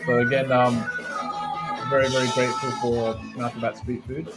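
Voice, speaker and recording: A man's voice: medium pitch, little pitch movement, normal speed, loud music behind the voice. A man co-hosting an online festival stream, talking casually and hesitantly with many restarts. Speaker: festival organiser co-host. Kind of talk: casual live stream chat.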